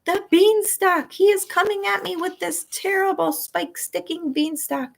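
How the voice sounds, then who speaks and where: A woman's voice: expressive, moderate pace, gravelly, a bit bright. A woman voicing a huge male giant who screeches and begs in terror during a nightmare, in a storybook reading. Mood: pleading. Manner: shrill, pleading, frantic.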